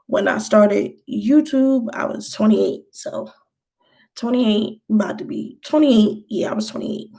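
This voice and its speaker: A woman's voice: medium pitch, a little slow, vocal fry, dark tone. A thirty-year-old woman vlogger answering viewer questions in a loose, rambling, encouraging way, with slang. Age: 30s. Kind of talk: casual chat.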